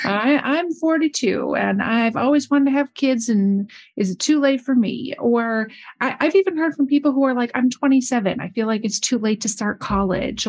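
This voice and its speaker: Thoughtful woman host, slightly high voice. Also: a little mellow. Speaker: podcast host. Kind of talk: interview questions.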